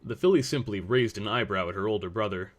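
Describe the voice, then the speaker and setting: A man's voice: fairly low pitch, quick pace. A man reading scripted story narration as the narrator.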